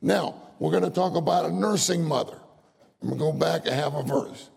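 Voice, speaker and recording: A man's voice: a little high, a little fast, creaky, darkish tone, breathy edge. An older man preaching a folksy sermon, telling stories, acting out voices and laughing often. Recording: long hall reverb.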